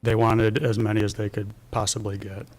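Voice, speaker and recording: A man giving brisk, informal answers to a board as an applicant's representative. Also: fairly low pitch, level tone, a little mellow. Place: town board meeting room.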